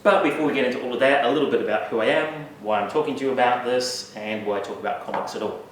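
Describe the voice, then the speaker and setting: A man's voice: mid-range voice, quick pace, a little hiss or hum. Male lecturer, New Zealand accent, wry and self-mocking, heard from a distance in a very reverberant hall. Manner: wry, self-deprecating asides with frequent "uh" fillers. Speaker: guest speaker. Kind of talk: university lecture talk. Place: university lecture room. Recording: echoey hall, mic at a distance.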